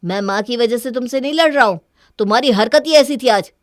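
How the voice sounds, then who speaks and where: A woman's voice: fairly high pitch, a little fast, dry recording, studio quality, close to an everyday voice. A woman voicing an earnest, exasperated young husband in a cartoon story, reasoning and reproachful. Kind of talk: Hindi cartoon story dialogue.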